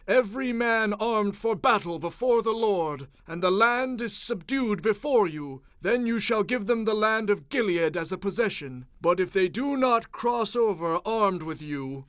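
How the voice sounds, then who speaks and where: A stern biblical leader, speaks in a high-pitched voice for a man, close and dry. He delivers solemn, forceful oratory in measured phrases, as one commanding his people in an audio Bible drama. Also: dry recording.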